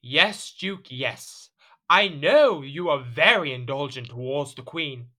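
A man's voice: fairly high pitch, animated, slow pace, sharp tone, heavily acted. A man playing a haughty, suspicious king in a period audio drama, commanding and formal in his delivery. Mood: pointed, displeased.

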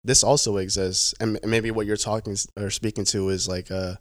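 A young man speaks in a low voice, easygoing but halting, restarting phrases and leaning on fillers as he tells his story. The sound is dry and close, with no room behind him. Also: level tone, no room sound. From Pacific Northwest, United States. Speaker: guest. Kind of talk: casual conversational interview.

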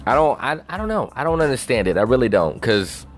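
A man's voice: mid-range voice, very animated, fast talker, soft background music. A man on a casual, rambling rant, swearing constantly and leaning on "you know" fillers.

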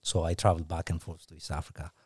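A man's voice: low voice, completely flat delivery, fast, no room sound. A middle-aged man from Ethiopia, a guest on a church podcast, talking casually with many fillers and frequent laughs. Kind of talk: casual interview chat.

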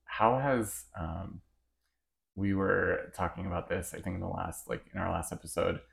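A man's voice: low voice, gravelly. A man co-hosting a podcast in a playful, teasing way as he asks a guest questions. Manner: playful, teasing, quick to laugh. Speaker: podcast co-host. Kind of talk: casual interview chat.

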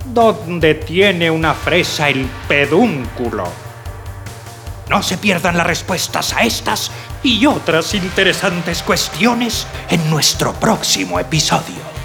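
A man announcing the close of a radio serial in a theatrical scripted style. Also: sits high. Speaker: closing announcer.

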